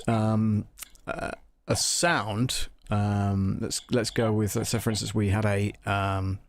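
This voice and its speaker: A man hosting a live audio software tutorial, hesitantly muttering to himself as he works through the steps. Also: fairly low pitch, creaky, no echo. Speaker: host of an audio production live stream tutorial. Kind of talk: live software demonstration, thinking aloud.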